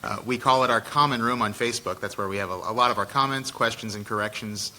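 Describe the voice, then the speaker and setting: A male co-host with a lowish, bright, forceful voice asks questions and reads the closing plugs before a live audience. He keeps things friendly and easygoing, with a faint room ambience behind him. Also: fast, a little hiss or hum. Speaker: podcast co-host. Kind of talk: live interview and wrap-up.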